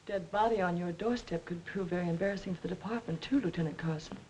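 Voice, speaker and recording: Actress as young society woman, lowish voice, soft-spoken, guarded, composed, faint hiss of old film. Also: quick pace, lightly acted, low-quality recording. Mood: cool, composed. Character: poised, guarded young female politician's daughter, coolly polite. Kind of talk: 1940s crime film acting. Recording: hissy old 1940s film soundtrack.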